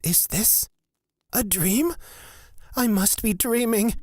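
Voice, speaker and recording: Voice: medium pitch, takes it slowly, a little mellow. A performer playing a nervous, courteous young cleric and blood witch, talking in a flustered, polite way in a fantasy audio drama. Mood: bewildered.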